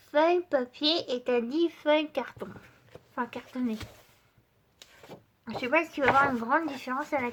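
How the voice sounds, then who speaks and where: A woman with a stuffy voice from a cold, chatting casually to her viewers as she shows craft supplies and tests paper, with many fillers. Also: sits high. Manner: chatty, addresses viewer directly, frequent fillers. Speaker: art channel host.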